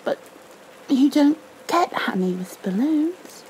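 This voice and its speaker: A woman's voice: sits high, expressive, takes it slowly, darkish tone, voice pushed up, low-quality recording. A woman voicing a wide-eyed, innocent young boy in a storybook, awed and curious. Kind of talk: storybook read-aloud character voice. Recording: faint background noise.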